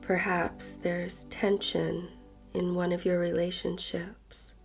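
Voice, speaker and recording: Woman meditation host, very slow and gentle, soft breathy voice, long pauses, faint music behind. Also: on the low side, flat delivery. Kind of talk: guided meditation, slow and soothing narration. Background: soft background music.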